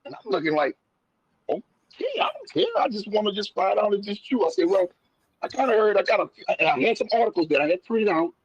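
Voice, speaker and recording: A man with a notably high voice talks in a casual, folksy way, as a guest sharing his own story. He is animated, often doubles back on his phrases and acts out both sides of conversations. The sound is dry, with little room to it. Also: quick pace, slightly rough, no echo, highs cut off.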